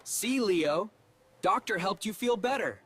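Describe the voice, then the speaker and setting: A woman doing a gentle cartoon father, his voice pushed far down and soothing, speaking in short, simple sentences. His pitch swings freely, and a long reverb hangs over the voice while soft music plays underneath. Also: animated, measured pace, noisy background, lightly acted.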